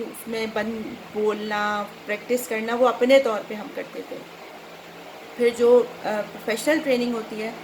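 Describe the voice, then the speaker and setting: A middle-aged Pakistani woman chatting casually and instructively to viewers as a voice-over trainer, mixing in English words. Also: a little high. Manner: conversational, instructive, code-mixes English words. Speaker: voice-over artist and trainer, host. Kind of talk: casual explanatory chat to viewers. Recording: clearly noisy background.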